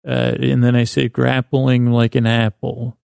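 A man with a creaky voice hosting a sleep podcast, delivering a meandering, halting bedtime monologue with whimsical, self-deprecating digressions. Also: mid-range voice, completely flat delivery, a little mellow, no room sound, studio quality. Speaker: podcast host. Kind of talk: rambling bedtime monologue to help listeners sleep.

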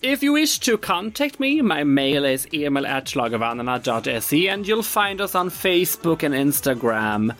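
Man hosting a podcast, high-pitched, very lively, quick affirmations, faint music under. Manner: friendly, brief affirmations. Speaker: podcast host. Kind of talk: casual interview.

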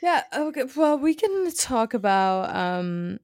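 Woman co-host, gravelly edge, dry close mic, reflective and hesitant. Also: fairly high pitch, sing-song, takes it slowly, darkish tone, no echo. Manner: thoughtful, hesitant, many ums and likes. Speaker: podcast co-host. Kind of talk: casual film discussion chat.

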